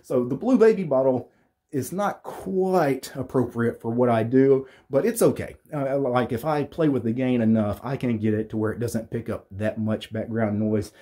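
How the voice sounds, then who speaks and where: A man in his thirties with a deep voice, casually walking through his home studio gear, rambling with fillers and restarts. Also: moderate pace, dark tone. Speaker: tech YouTuber. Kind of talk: casual equipment walkthrough. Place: small home studio with acoustic foam panels.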